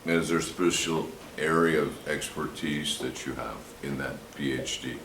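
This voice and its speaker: A man's voice: very deep voice, normal speed, a bit bright, reverberant, slight background noise. A man working as an attorney who questions a witness in a courtroom, asking short, direct questions. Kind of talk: direct examination.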